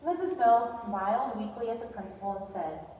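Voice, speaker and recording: A woman's voice: on the high side, expressive, normal speed, warm tone. A woman reading scripted narration for a readers theater performance. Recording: distant, echoey hall with faint noise.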